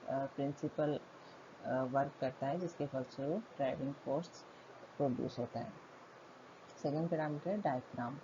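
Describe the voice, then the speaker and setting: A woman with an Indian accent giving an explanatory technical lecture as a college teacher, in a methodical, halting way. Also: low voice. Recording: muffled lo-fi audio with loud background noise.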